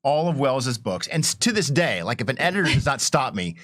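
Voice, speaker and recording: A man with a lively, swooping delivery talks as a game designer in a panel discussion. He explains ideas like an enthusiastic professor, and the sound is close and dry. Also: mid-range voice, a little fast, dry recording.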